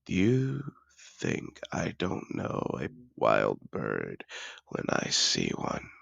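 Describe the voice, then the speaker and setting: A man playing a seductive, possessive dragon disguised as a wealthy patron, speaking in a courtly, deliberate, intimate way for a fantasy audio roleplay. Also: huge pitch swings, fairly bright tone, no room sound, lo-fi sound, full character voice. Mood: tender, seductive. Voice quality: deep gravelly voice with breathy murmurs.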